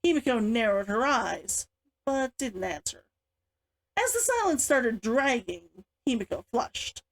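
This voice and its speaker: A woman reading her own book aloud as an author, in an expressive audiobook style. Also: fairly high pitch, unhurried.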